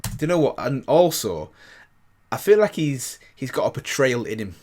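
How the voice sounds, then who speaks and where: A man's voice: medium pitch, sing-song, normal speed, a little mellow, a little hiss or hum. A man hosting a reaction channel, giving casual, chatty commentary and repeating himself for emphasis.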